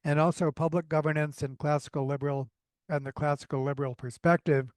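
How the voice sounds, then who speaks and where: Man, podcast host, enthusiastic, measured, some fillers, fairly high voice, dry sound. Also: steady tone, darkish tone, no room sound. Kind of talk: interview introduction.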